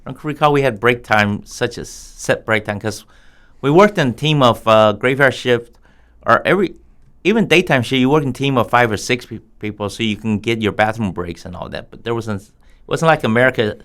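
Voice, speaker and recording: A man's voice: medium pitch, fairly quick, fairly bright tone. A man in his fifties from Texas with a Texas accent, a guest telling earnest stories from his past in a casual interview. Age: 50s. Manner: reminiscing, earnest storytelling.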